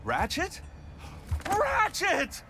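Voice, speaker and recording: Very high-pitched, flustered alien prophet. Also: male voice, huge pitch swings, very slow, heavy background noise, full character voice. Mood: awed, flustered. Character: excitable, flustered alien prophet, male, in awe. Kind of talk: video game cutscene acting. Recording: music behind.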